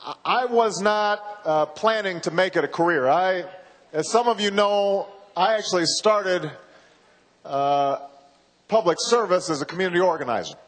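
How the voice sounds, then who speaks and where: A man in his forties giving a campaign speech, slow and halting with frequent pauses and repeated words. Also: high-pitched, fairly bright tone. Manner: halting, measured, with many uhs and repeated words. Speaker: political candidate. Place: large university hall. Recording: reverberant hall from a PA.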